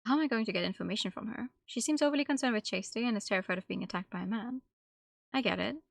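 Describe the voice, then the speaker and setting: A woman's voice: mid-range voice, very fast, gravelly, dry recording. A woman streaming a game, reading its text aloud and adding casual, playful, musing commentary. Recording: light music behind.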